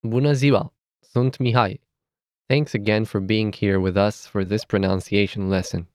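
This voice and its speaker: Romanian-accented male tutor, unhurried careful diction, dry studio sound. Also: fairly low pitch, fairly even intonation, darkish tone, dry recording. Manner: measured, careful enunciation of letters. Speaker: language teacher. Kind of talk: scripted language lesson.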